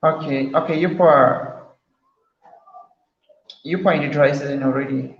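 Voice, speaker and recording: A man's voice: a little high, fairly even intonation, measured pace, echoey room, muffled recording. A man from Cameroon with a Cameroonian English accent, hosting a casual group call, asking questions and often repeating himself. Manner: chatty, asks guests questions, repeats himself. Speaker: host of an online health forum.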